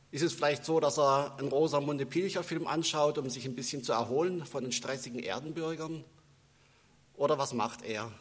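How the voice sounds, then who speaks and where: A man preaching in German with a faint northern standard accent, his voice set a bit higher than most men's. He talks in a relaxed, conversational way and weaves personal stories into the sermon. A long reverb trails off each phrase, as in a large church. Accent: German with a northern standard touch. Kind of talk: Advent sermon.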